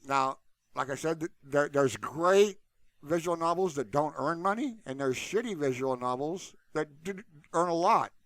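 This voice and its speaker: Male channel host, slightly higher register, loose informal rambling, very dry close sound. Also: lively intonation, unhurried, fairly bright tone, no echo. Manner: informal and unscripted, repeatedly saying "you know". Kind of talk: casual, rambling solo talk to viewers.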